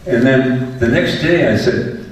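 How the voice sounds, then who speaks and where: A man's voice: mid-range voice, a little slow. Older man telling chuckling stories in an echoey hall. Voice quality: fairly loud voice. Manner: chuckling, rambling, often restarts his sentences. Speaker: panelist, former math teacher. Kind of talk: relaxed anecdotal storytelling to an audience. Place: large echoey event hall with a live audience. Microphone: distant microphone. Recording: echoey hall, distant mic, faint hiss.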